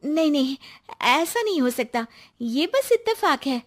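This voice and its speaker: A woman voicing an outspoken, easily aggrieved young wife in an animated story, complaining and argumentative. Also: high-pitched, unhurried, pitched above the performer's own voice.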